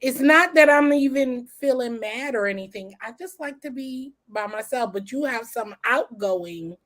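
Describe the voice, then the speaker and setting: A woman with a slightly hoarse voice, chatting casually and calling everyone girl. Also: on the high side, moderate pace. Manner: drawling, calls everyone girl, lively laughs. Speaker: guest in a live chat. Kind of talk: casual gossipy chat.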